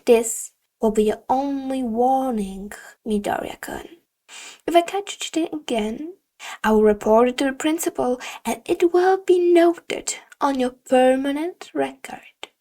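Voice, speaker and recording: Woman acting out a female schoolteacher, stern, condescending, high voice, slow emphatic lecturing, audiobook dialogue. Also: dark tone. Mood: stern warning.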